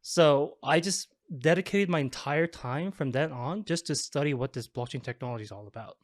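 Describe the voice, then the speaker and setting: A young man from South Korea, a guest talking casually in an interview, relaxed and chatty. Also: a little high, normal speed, no room sound, studio quality. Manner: relaxed, chatty, frequent fillers and laughs. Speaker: guest, developer relations advocate.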